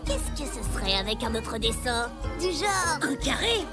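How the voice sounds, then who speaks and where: A brash little cartoon schemer. The child's voice is very high and swings widely, with a bossy, mocking edge. Loud music sits behind it. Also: very animated, moderate pace. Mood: scheming, bossy. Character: brash, scheming, boastful kid of a tiger gang. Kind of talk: French animated series dub acting.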